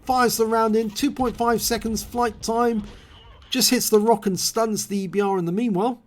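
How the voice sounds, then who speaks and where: A man with a hoarse voice after a cold, calmly explaining and calling the play in a video game replay. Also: sits high, normal speed.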